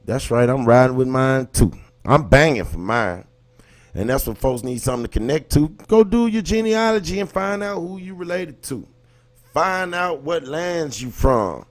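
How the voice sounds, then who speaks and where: A man's voice: medium pitch, lively intonation, moderate pace. A man with an American accent and a mid-range voice talks straight to his livestream audience. His delivery is colloquial and emphatic, an impassioned casual commentary built on rhetorical questions put to the viewers. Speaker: livestream host.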